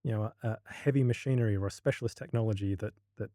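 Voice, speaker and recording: An Australian man with a low, mellow voice talks through a casual podcast interview. He stumbles and restarts often while he gathers his thoughts. The recording is dry and close. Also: fast, no room sound. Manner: hesitant, many restarts and fillers. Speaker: university lecturer, podcast guest.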